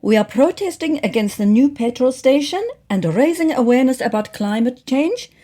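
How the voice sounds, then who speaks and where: A woman's voice: high-pitched, animated, moderate pace, dark tone, pitched above the performer's own voice. A woman voicing an earnest, stubborn female activist cat in a storybook, with an affected Nordic accent. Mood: defiant.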